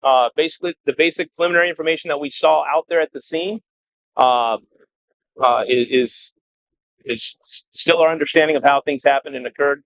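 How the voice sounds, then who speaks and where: Measured, halting male police chief, dry sound. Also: fairly high pitch, fairly bright tone, no echo, poor audio. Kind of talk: press conference statement and answers. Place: press briefing at a hospital.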